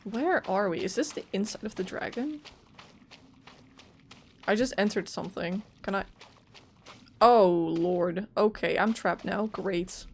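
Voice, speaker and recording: A woman's voice: mid-range voice, a little slow, long pauses, a little hiss or hum. Chatty woman reacting to gameplay. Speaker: gaming streamer. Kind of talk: casual gameplay commentary.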